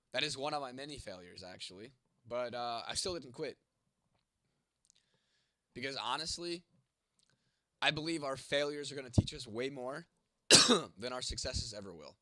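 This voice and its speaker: A man's voice: mid-range voice, lively intonation, moderate pace, pauses often, sharp tone, no echo. Congested young man streaming casually. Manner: laid-back, swears casually. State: congested, losing his voice, coughing. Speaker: livestream host. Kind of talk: casual livestream chat, reading viewer comments.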